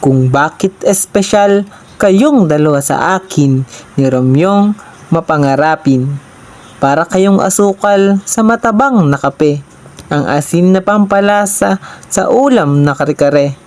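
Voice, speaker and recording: A woman narrating a children's story aloud in a plain, even way. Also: lowish voice, a little slow.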